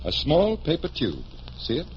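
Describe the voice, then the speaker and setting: An actor playing a confident magician detective, explaining with assured showmanship in 1940s radio style. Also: male voice, on the high side, huge pitch swings, normal speed. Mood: genial showmanship. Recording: muffled, noisy vintage radio recording.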